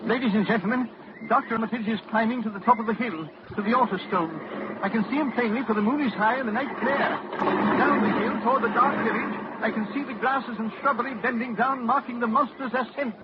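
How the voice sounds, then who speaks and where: A shaken, high-voiced reporting doctor. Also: male voice, fairly quick. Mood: tense. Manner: breathless on-the-spot reporting, shaken. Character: male doctor reporting a monster live. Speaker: Doctor Rice. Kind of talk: 1940s radio drama acting. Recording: dull old radio recording with hiss.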